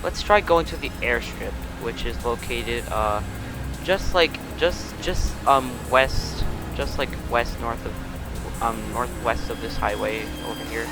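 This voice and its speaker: A man's voice: mid-range voice, slow, vocal fry. Young guy rambling thru a car review, lots of um and restarts, music playing behind. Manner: rambling, many restarts and fillers. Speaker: car review channel host. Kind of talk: casual game car review.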